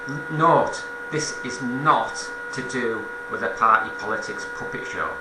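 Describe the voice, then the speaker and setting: A man delivers an off-the-cuff political monologue at a middling pitch. He halts, corrects himself and repeats phrases to press his point. The recording is roomy and echoey, with a clear background hum. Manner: halting, self-correcting, repeats phrases for emphasis. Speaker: political commentator. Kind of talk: informal spoken political monologue.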